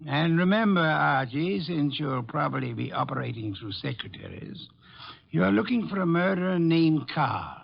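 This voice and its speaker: A dull, lo-fi radio recording of a brilliant master detective. His gravelly voice sits fairly high, and he hands out orders in deliberate, exacting phrases, stubborn and fully in command. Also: very animated, full character voice. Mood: exacting, patient. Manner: deliberate, authoritative, precise phrasing. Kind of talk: old-time radio drama acting.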